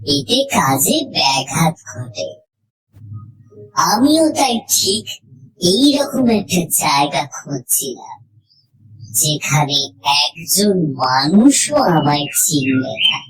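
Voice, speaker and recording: Female horror audiobook narrator, lower register, very unhurried, deliberate phrasing. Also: sing-song, low-quality recording. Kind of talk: scripted horror story narration, first person.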